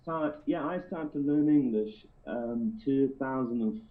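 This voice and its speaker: A man's voice: medium pitch, a little slow. A man from Iran, a native Azerbaijani speaker, chatting casually as a caller, repeating words as he goes. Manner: repeats words, frequent "you know". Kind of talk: casual phone-in chat. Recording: thin, phone-like internet call.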